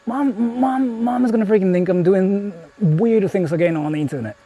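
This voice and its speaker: A man's voice: high-pitched, normal speed, warm tone, low-quality recording. A young man live-streaming outdoors from his phone, chatting casually with viewers and swearing freely. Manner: chatty, talks to viewers, frequent swearing and fillers. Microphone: phone held while streaming.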